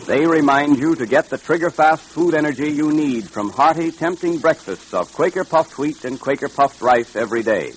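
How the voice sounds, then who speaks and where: A man's voice: fairly high pitch, lively intonation, normal speed, hardly any pauses, husky, low-quality recording. A man with an American accent delivering scripted 1940s radio announcing in an emphatic, salesmanlike way.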